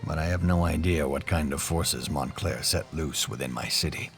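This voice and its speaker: A gruff, deep-voiced baron. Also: male voice, normal speed, soft background music. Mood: commanding. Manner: commanding, blunt, angry. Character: gruff male baron, commanding and hot-tempered.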